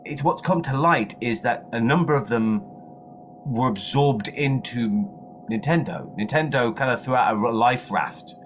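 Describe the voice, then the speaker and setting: A man chatting enthusiastically about video game news as a co-host, excitable and repeating words for emphasis. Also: medium pitch, slight background noise. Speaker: gaming show co-host.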